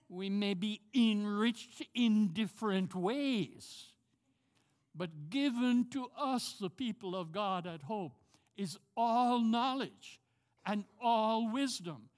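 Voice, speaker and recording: Older Jamaican man preaching slowly. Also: high voice. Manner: emphatic, repeats key words. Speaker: pastor. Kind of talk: sermon. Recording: long hall reverb.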